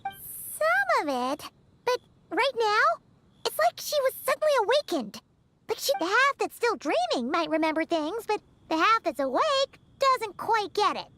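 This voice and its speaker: Tiny squeaky game fairy, super high n bright, bubbly and nosy, blurts everything out. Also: female voice, big pitch swings, a little slow, exaggerated voice. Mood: curious, puzzled. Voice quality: squeaky, childlike. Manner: bubbly, blurting, refers to self in third person. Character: small excitable childlike female fairy companion, nosy and food-loving. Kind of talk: video game voice acting.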